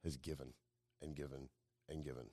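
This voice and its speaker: A man's voice: very deep voice, steady tone, brisk, mellow, dry recording. A man as a podcast guest, telling anecdotes in a casual, effusive way. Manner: effusive, informal.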